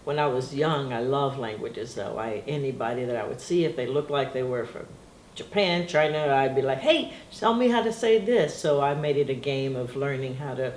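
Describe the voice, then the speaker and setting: A woman's voice: a bass voice, animated, normal speed, a little mellow. A middle-aged woman whose first language is Portuguese reminisces in a loose, rambling way, often breaking into laughter. The room sounds echoey and roomy. A faint hiss sits behind her voice. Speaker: interviewee. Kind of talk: casual reminiscing interview.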